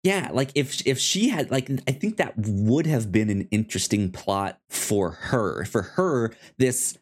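A man co-hosting a casual TV show discussion, talking haltingly, repeating words and trailing off mid-thought. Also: on the low side, lively intonation. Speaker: podcast co-host.

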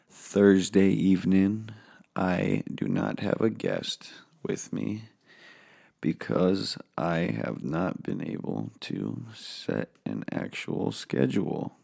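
A man hosting a casual solo podcast from his home office, rambling and swearing freely, with a hoarse voice. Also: deep voice, warm tone. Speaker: podcast host.

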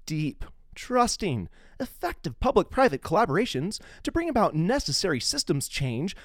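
A man's voice: sits high, no echo, studio quality. A middle-aged man hosting a podcast, shifting between dramatic reading and casual talk. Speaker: podcast host.

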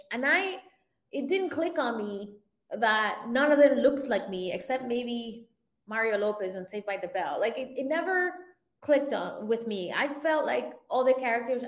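A woman who grew up in Venezuela, a filmmaker guest in a casual interview, talking in an animated way and laughing often. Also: fairly high pitch. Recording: roomy echoey sound.

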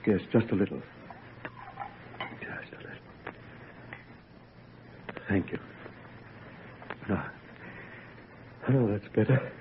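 An actor in an old-time radio drama playing an obsessed young scientist, shaken yet proud, halting and repeating his phrases theatrically. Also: fairly low pitch, lively intonation. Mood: weak, shaken. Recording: tinny, noisy vintage radio recording.

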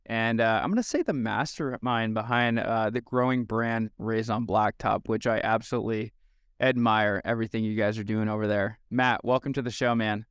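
A man's voice: on the low side, moderate pace, clean tone, no room sound, clean studio sound. A man hosting a podcast in a casual, upbeat way, with fillers and restarts as he introduces his guest. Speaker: podcast host.

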